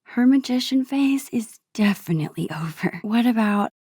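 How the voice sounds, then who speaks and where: A woman playing a soft-spoken, introspective teenage girl in a video game, wistful and hesitant, with a whispery voice. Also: fairly high pitch, animated, normal speed, slightly rough, no room sound, studio quality. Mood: musing. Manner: hesitant, gentle, musing to herself. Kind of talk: naturalistic video game dialogue and inner monologue.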